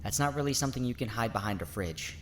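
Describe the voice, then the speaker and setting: A man voicing a blunt, scornful skeptic in an animated murder mystery. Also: medium pitch, fast talker, close to an everyday voice. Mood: dismissive. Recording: faint background noise.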